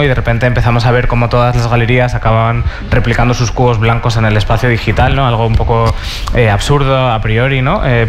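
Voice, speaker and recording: A man's voice: medium pitch, a little hiss or hum. Rambling man moderating a panel. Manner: rambling, many fillers and tag questions. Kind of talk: panel introduction. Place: stage in a large auditorium.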